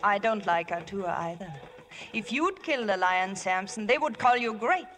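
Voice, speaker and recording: A woman's voice: mid-range voice, expressive, moderate pace, a bit bright, close to an everyday voice. An actress playing a bold, scheming young girl who is ardently infatuated, in a 1950s radio drama. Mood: eager, scheming.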